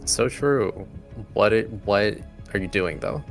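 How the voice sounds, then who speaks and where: A man's voice: lowish voice, slow pace, soft background music. A man narrating a tabletop game as game master, improvising in casual, conversational phrasing.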